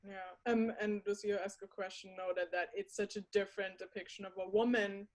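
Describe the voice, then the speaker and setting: A woman's voice: medium pitch, steady tone, a little fast. Woman, interviewer, mid-range voice, hesitant, searching phrasing, conversational.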